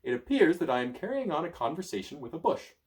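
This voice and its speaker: A man's voice: a little high, big pitch swings, fast, pitched above the performer's own voice. A man voicing an earnest, curious young adventurer in a storybook. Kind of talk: storybook reading.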